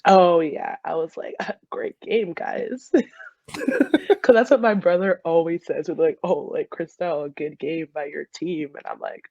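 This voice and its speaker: A woman's voice: low voice, a little slow, vocal fry, no echo. Young American woman, slightly muffled audio. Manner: giggly, informal, animated retelling with quoted asides. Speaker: interview guest, former college soccer player. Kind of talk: casual conversational interview.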